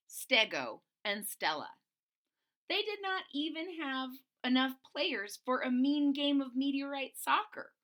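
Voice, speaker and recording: A woman reading a children's storybook aloud as host, expressive, with deliberate emphasis and explanatory asides. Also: high voice.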